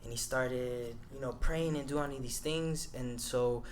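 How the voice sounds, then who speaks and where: A man's voice: medium pitch, unhurried, a little hiss or hum. A man hosting a casual podcast, talking loosely with frequent restarts. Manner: loose, rambling, many restarts. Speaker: podcast host.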